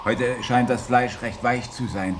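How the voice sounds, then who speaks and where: A man's voice: mid-range voice, fairly even intonation, unhurried, a little hiss or hum, lightly acted. A man playing a polite, deferential dinner companion in a radio drama, with formal manners.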